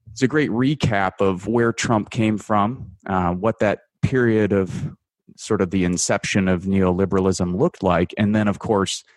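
A man in his thirties from the United States, a guest writer giving measured, analytical commentary in a radio interview, with frequent fillers and restarts. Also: deep voice, no room sound. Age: 30s.

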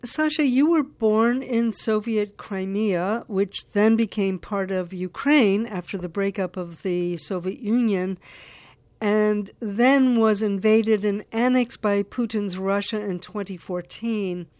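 A woman radio show host reading a scripted introduction in a measured, formal way, then asking interview questions. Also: mid-range voice, darkish tone, no echo.

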